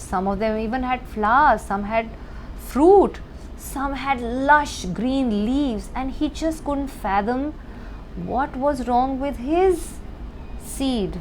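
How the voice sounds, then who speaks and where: Female storyteller for children's bedtime tales, slightly high voice, unhurried and emphatic, faint noise behind. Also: darkish tone, low-quality recording.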